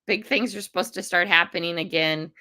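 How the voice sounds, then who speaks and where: An older American woman chats loosely on a livestream, greeting viewers one by one and drifting between topics. Her voice is rough and stuffed up from a cold, yet it stays bright and forward, and it sits fairly low for a woman. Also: brisk. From United States. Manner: chatty, rambling, warm greetings to commenters. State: congested, rough voice. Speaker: livestream host.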